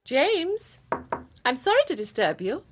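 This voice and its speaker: Genteel, lively-pitched lady. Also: measured pace, slight background noise. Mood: polite, composed. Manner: genteel, proper, formal. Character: pious, composed colonel's wife, dutiful Victorian lady. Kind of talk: radio drama acting.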